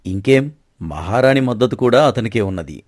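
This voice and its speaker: A man playing a stern, proud king and father in a dramatized folk story, speaking formally and with measured authority. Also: medium pitch, expressive, darkish tone, dry recording, studio quality, close to an everyday voice. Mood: proud, deliberate. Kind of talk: dramatized moral story acting.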